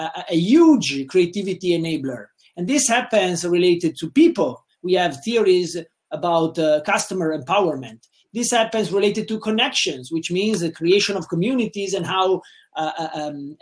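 Italian-accented male lecturer, middle-aged, webcam call, hesitant with many fillers. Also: high-pitched. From Italy. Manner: halting, many fillers and repeated words. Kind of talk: online webinar lecture. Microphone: webcam video call.

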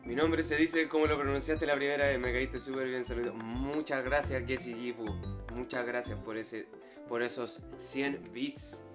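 A man's voice: medium pitch, steady tone, normal speed. A man in his twenties chatting casually as a streamer, reading his chat. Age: 20s. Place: home kitchen, indoors. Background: music.

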